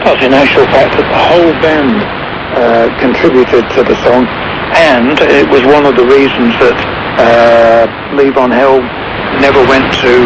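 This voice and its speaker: A man giving casual music commentary as a radio guest, rambling and pausing mid-phrase. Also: medium pitch. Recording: muffled shortwave radio with clear static. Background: shortwave static and noise.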